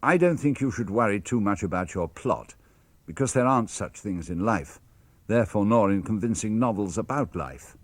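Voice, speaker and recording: Low, lively man reading letters. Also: no echo. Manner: measured, expressive, crisp phrasing. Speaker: reader of letters. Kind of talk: dramatic reading of letters for radio.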